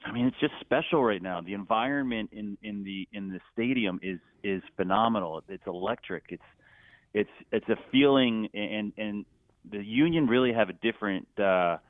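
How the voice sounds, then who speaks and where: Male announcer as call-in guest, fairly deep, enthusiastic and conversational, narrow telephone audio. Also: normal speed, no echo. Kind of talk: casual, enthusiastic interview chat. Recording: thin phone-line sound, dry.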